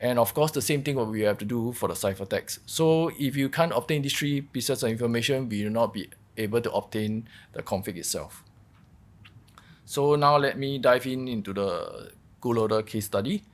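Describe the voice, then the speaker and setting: Man presenting, halting and explanatory. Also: medium pitch. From Singapore. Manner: halting, explanatory, repeats words. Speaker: workshop presenter, malware researcher. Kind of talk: technical conference presentation.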